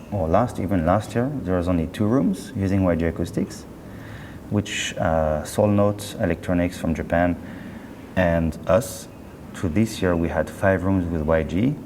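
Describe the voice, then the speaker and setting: Male company spokesman, deep-ish voice, conversational and self-correcting, recorded in a noisy reverberant show space. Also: dark tone. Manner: chatty, halting and self-correcting. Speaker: company representative being interviewed. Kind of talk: casual interview chat. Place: indoor hi-fi show. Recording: roomy hall, clear background noise.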